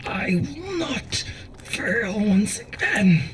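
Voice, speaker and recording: A performer in a dramatic ASMR roleplay playing a grave, defiant warlord battling sickness, with halting, theatrical delivery. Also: medium pitch, sing-song, recorded from a distance, background noise. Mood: anguished defiance.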